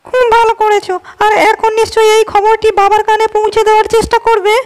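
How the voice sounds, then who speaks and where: A woman's voice: very high-pitched, flat delivery, quick pace, noisy background. A woman voicing a defiant, scornful young princess. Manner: sharp and scornful. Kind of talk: cartoon voice acting.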